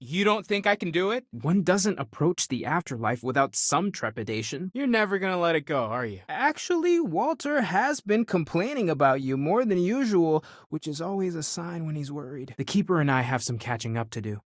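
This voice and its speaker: Video game role: a young, earnest spiritual scholar exploring space. Thoughtful and conversational, close dry studio sound. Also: male voice, on the high side, sing-song, normal speed, hardly any pauses, dry recording. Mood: casual, wry. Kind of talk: video game character dialogue.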